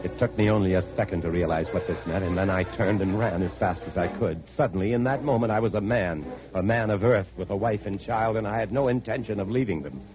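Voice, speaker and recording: A man's voice: on the low side, fast talker, few pauses, over music, close to an everyday voice. An American actor playing an anxious husband and father fleeing alien invaders and narrating his ordeal in 1950s radio drama style. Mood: haunted narration. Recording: muffled, very noisy 1950s radio recording.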